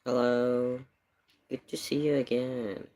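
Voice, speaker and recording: A live streamer chatting casually in halting, murmured asides. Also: mid-range voice, level tone, darkish tone. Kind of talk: casual livestream chat.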